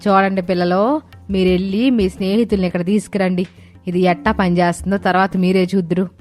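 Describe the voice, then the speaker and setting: A woman's voice: mid-range voice, steady tone, fairly quick, darkish tone, soft background music, lightly acted. A woman voicing a caring, devout elderly mother-in-law in a storybook tale, with assured, folksy village wording. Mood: reassuring, confiding. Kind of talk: dramatised storybook dialogue.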